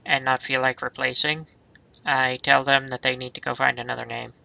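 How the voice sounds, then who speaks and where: A man giving casual, deadpan joking commentary over a video game. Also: medium pitch, moderate pace, gravelly, no echo, poor audio. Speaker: let's play co-commentator. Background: loud game music.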